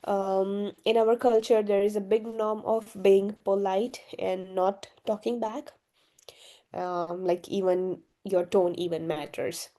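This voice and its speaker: Young woman from Gujarat, native Gujarati speaker, interviewee; unhurried, warm-toned, soft-spoken with lots of ums. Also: medium pitch, flat delivery. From Gujarat, India. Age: young adult. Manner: hesitant, many fillers, soft-spoken. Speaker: interviewee, university student. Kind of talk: casual interview answers.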